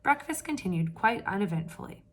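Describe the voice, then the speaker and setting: A woman reading a picture book aloud to children with lively expression, pausing to ask her listeners questions in hushed asides. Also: medium pitch. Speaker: read-aloud storyteller for children.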